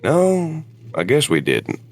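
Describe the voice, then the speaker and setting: A man's voice: a little high, wildly swooping pitch, slow, heavily acted. A man playing a weary older husband and war veteran, regretful but devoted, in an audio drama. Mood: subdued, tender.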